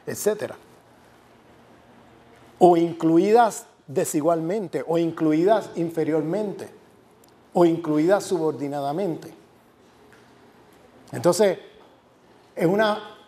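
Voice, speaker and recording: A man's voice: high voice, a little mellow. A man, a university professor, giving an academic lecture with emphasis, repeating and listing words for stress. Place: lecture hall. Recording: echoey lecture hall.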